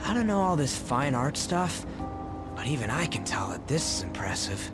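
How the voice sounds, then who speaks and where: A man plays a brash teenage boy in a video game, blunt and slangy. His pitch moves around a lot in casual, expressive delivery over music. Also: moderate pace, natural voice. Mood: casual, impressed. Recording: music behind the voice.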